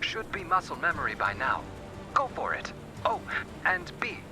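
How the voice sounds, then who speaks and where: Game role: loyal, chatty human mission guide. Higher-than-average pitch, very animated, informal, loud music bed. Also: male voice, normal speed, low-quality recording. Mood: encouraging. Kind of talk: video game cutscene acting.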